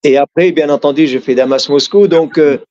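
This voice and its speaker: A man's voice: fairly high pitch, a little fast, a little mellow, lo-fi sound. A middle-aged man from Syria with an Arabic accent, telling his life story in an interview. He is earnest and often stops and restarts his sentences. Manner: frequent restarts and hesitations, earnest.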